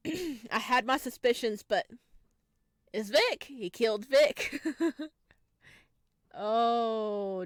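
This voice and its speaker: A woman playing through a visual novel, reading the text aloud and adding animated, laughing comments. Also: mid-range voice, dry recording. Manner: animated, laughing asides between hushed narration. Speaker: game streamer.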